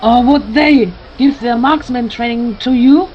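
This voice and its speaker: Woman acting a high-voiced, condemning religious zealot. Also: measured pace, muffled recording, faint music behind, close to an everyday voice. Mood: scornful. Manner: preachy, condemning. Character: zealous, accusing female religious vampire hunter. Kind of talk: fan audio drama acting.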